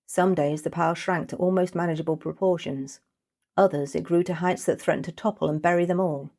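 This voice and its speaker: A woman's voice: on the low side, steady tone, brisk, mellow. A woman reading a scripted fantasy short story as its narrator.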